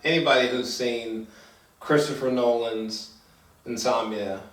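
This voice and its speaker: A man chatting casually about a film, halting and hesitant, circling back and swearing casually. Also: medium pitch, steady tone, fairly bright tone. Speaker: film review podcast co-host. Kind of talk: casual chat, film review. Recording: roomy echo, distant microphone.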